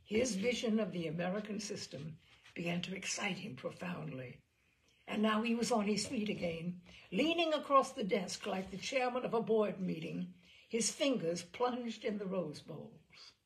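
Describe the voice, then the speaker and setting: A woman reading a memoir aloud as a narrator, in a wry and expressive storytelling manner. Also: medium pitch, moderate pace, vocal fry, low-quality recording. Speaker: reader. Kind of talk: audiobook narration.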